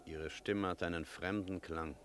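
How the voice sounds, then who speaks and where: An actor playing a stern, sceptical village priest in a radio drama, speaking in measured, literary phrases. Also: male voice, low voice, fairly even intonation, slight background noise. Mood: grave, reflective. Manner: measured, rhetorical, literary diction. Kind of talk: German radio drama acting with narration.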